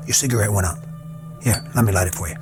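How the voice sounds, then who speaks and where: Radio drama lieutenant, male actor: tired soldier, haunted, reassuring but halting, over clear underscore. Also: on the low side, lightly acted. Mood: forced calm. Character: weary, haunted army lieutenant at a wartime field hospital. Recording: dramatic music behind the voice.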